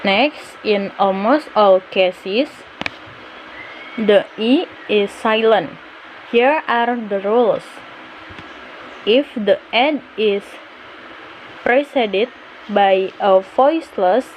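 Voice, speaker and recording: Young female student presenter, drawn-out and halting slide reading, slight background noise. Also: medium pitch, poor audio. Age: young adult. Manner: halting, broken phrasing. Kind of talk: scripted lesson narration, reading slides.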